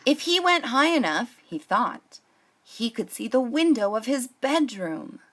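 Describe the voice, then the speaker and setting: A woman's voice: a little high, very animated, measured pace, fairly bright tone. A woman hosting a children's storytime, reading a picture book aloud expressively and addressing young listeners.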